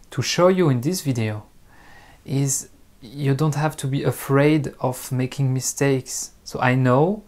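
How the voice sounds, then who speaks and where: Slow, hesitant man with strong French accent. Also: medium pitch, darkish tone. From France. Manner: hesitant, pausing often with ums and uhs. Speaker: host and French teacher. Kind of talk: unscripted talk to camera.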